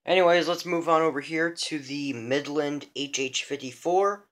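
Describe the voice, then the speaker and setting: A man's voice: fairly high pitch, moderate pace, fairly bright tone. A man giving a casual, unscripted show-and-tell of a hobby collection, rambling and repeating himself.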